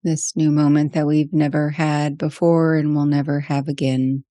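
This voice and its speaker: Female meditation guide, soft-edged mellow tone, gentle spaced phrasing, close dry sound. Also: low-pitched, little pitch movement, no echo. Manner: slow, gentle pauses between short phrases.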